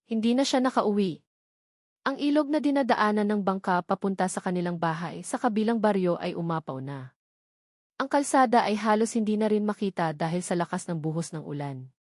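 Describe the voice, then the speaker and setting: A woman's voice: mid-range voice, a little mellow, no room sound, studio quality. A woman with a Filipino accent narrating a dramatic story from a script.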